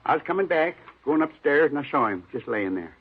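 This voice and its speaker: A man's voice: a little high, very animated, a little fast, husky. An actor playing a shaken, defensive building superintendent in his fifties, speaking plainly and repeating himself in an old radio drama. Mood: shaken, numb. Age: 50s. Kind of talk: 1950s radio drama acting. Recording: tinny band-limited old radio recording.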